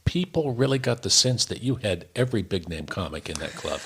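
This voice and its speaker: An older man, a radio personality, chatting casually as a guest in halting, measured phrases. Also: fairly low pitch, animated, husky. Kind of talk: casual chat.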